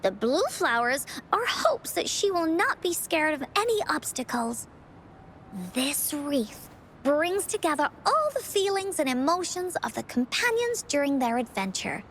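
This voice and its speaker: A woman voicing a spirited, imaginative little girl who tells adventure stories theatrically in a video game, with deliberate dramatic pauses. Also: high-pitched, big pitch swings, fairly bright tone, a little hiss or hum, exaggerated voice. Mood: gentle, solemn.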